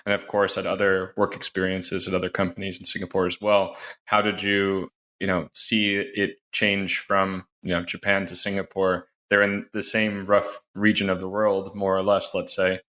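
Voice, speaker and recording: A man hosting a podcast interview, speaking in a measured way with many hedging fillers. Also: low-pitched, completely flat delivery, sharp tone. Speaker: podcast host.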